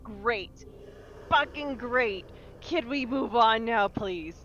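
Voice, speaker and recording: A woman's voice: fairly high pitch, slow, fairly bright tone, highs cut off, soft background music. A woman player in a tabletop roleplaying game, exasperated and stammering as she swears her way through the scene.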